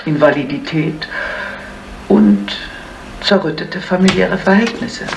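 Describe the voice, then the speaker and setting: An actress playing an earnest woman doctor being interviewed in a radio drama, halting and measured. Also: lowish voice, vocal fry, a little mellow. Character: earnest, careful woman doctor leading a charity. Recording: distant, faintly noisy old broadcast.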